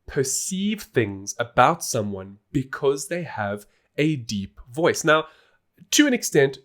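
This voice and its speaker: A man's voice: medium pitch, big pitch swings, takes it slowly, fairly bright tone. A man hosting a podcast, explaining things in a casual, informal and emphatic way. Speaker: podcast host.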